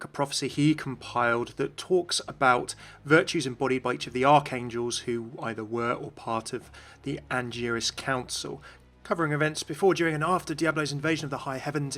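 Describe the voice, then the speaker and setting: A man's voice: mid-range voice, fairly bright tone. A man hosting a gaming video, giving scripted explanations in narration. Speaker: video host. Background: music.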